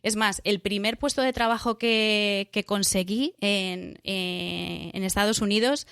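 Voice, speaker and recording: A woman's voice: medium pitch, a little slow, creaky, a bit bright, clear, resonant tone, no echo, studio quality. A middle-aged woman from Spain with a Spanish accent, chatting as a podcast guest, talking in a loose way full of restarts and fillers. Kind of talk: casual interview chat.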